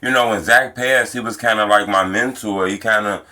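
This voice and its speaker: A man's voice: fairly low pitch, steady tone, normal speed, bright, forceful tone. An American man hosting a street-culture interview channel, speaking in casual, conversational slang. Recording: music behind the voice.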